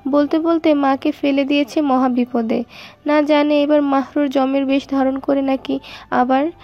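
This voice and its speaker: High-pitched woman narrating a story. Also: level tone, a little slow, dull audio.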